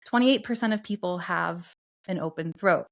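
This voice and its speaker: A woman co-hosting a podcast, calmly explaining astrology and human design concepts in a steady teaching tone. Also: lowish voice, normal speed, vocal fry. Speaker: podcast co-host.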